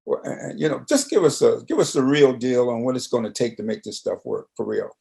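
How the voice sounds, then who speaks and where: A man's voice: medium pitch, lively intonation, fairly quick, vocal fry, mellow. A man interviewing in a loose conversational style, plain-spoken, folksy and probing.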